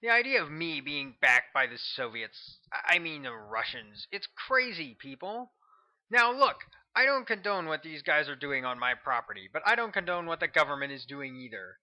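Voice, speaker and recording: Man doing an agitated, high-pitched radio host. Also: big pitch swings, moderate pace, lo-fi sound. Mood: indignant. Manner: exclaiming, indignant. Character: agitated, indignant male conspiracy radio talk host.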